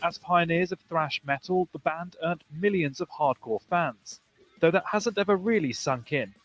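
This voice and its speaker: A man with a slightly high voice reads a scripted news report. Guitar music plays behind his narration. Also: normal speed, fairly bright tone, rough recording.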